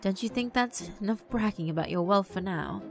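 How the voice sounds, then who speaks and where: Woman doing a dry, courteous gentleman spirit. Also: medium pitch, vocal fry, natural voice. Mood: dryly polite. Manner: formal, measured, dry.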